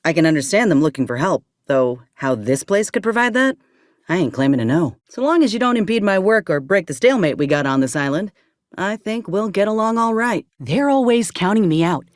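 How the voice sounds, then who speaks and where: An actress playing a tough, guarded, weary former combat medic in a video game, with a folksy, blunt, sardonic way of talking. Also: female voice, mid-range voice, expressive, fairly quick, dry recording, clean studio sound, close to an everyday voice. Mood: bitter, weary. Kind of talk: video game character acting.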